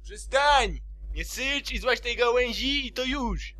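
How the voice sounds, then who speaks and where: A man's voice: very high-pitched, expressive, slow, bright, forceful tone, exaggerated voice. A man voicing a brash, bossy female pegasus pony in an amateur cartoon fan dub. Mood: commanding.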